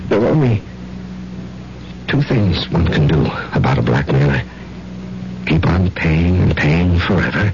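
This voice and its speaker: An actor playing a grim, cornered blackmail victim, intense and ominous, in 1970s radio drama style. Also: male voice, low voice, animated, measured pace, pauses often, noisy background. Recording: dull old radio broadcast with music.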